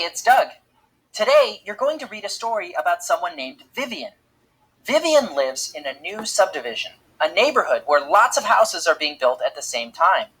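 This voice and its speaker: A woman introduces a story for children from a script. Her medium-pitched voice has a husky grain and plenty of melodic movement. She speaks simply and directly to young listeners. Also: fairly bright tone, poor audio. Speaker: lesson presenter.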